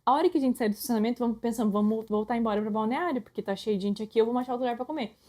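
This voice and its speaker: A woman's voice: on the high side, rapid-fire, dark tone. A young woman with a Brazilian Portuguese accent, co-hosting a casual couple conversation and telling stories eagerly, often finishing her partner's sentences. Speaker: podcast co-host.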